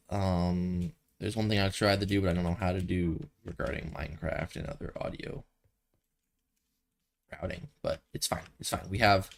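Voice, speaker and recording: Stuffy, rambling young gaming streamer. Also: male voice, fairly low pitch, gravelly. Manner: rambling, thinks aloud, self-correcting. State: a little stuffy from a cold. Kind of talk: casual gameplay commentary.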